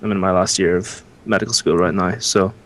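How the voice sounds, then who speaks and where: A man's voice: sits low, fairly even intonation, fairly bright tone. A young man with an Irish accent, chatting as a podcast guest and laughing easily. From Ireland. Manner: laughs often, quick jokey replies. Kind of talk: casual chat.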